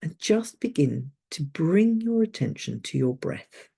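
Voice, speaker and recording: Woman, sleep meditation guide, soothing, soft and husky, slow pace, gently instructive. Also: low-pitched, big pitch swings.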